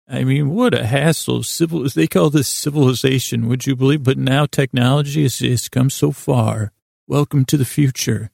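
A man hosting a podcast with a meandering, halting bedtime monologue, often trailing off mid-thought in a gravelly voice. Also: medium pitch, darkish tone, no echo, clean studio sound. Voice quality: very creaky, gravelly voice. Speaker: podcast host. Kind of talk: rambling bedtime storytelling monologue.

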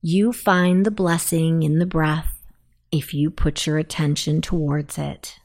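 A woman narrating a guided sleep meditation in a soothing, deliberate manner. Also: sits low, level tone, darkish tone.

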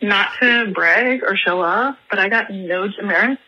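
A woman's voice: medium pitch, a little slow, sharp tone, slightly rough. A woman from Toronto leaving an upbeat, matter-of-fact voicemail over the phone. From Toronto, Canada. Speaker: caller. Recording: dull lo-fi phone message.

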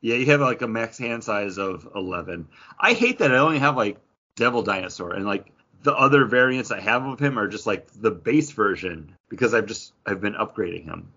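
A man's voice: lowish voice, expressive, brisk, bright, forceful tone, slightly rough. A man hosting a podcast, chatting casually and enthusiastically about a hobby. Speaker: podcast host. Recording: dull lo-fi audio.

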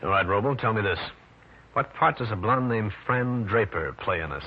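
An actor playing a tough, wisecracking private detective in an old-time radio drama, brusque, slangy and sardonic. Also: male voice, on the low side, expressive, moderate pace, breathy edge. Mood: probing, brisk. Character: tough, wisecracking New York private detective, brash and hard-boiled. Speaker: lead actor and narrator. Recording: noisy, muffled old radio recording.

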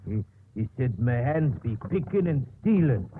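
Halting simpleton, noisy old radio. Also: male voice, medium pitch, very animated. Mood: halting, aggrieved. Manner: halting, speaks of himself in third person. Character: simple, devoted rustic English handyman. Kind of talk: 1950s radio drama acting. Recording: noisy muffled 1950s radio recording.

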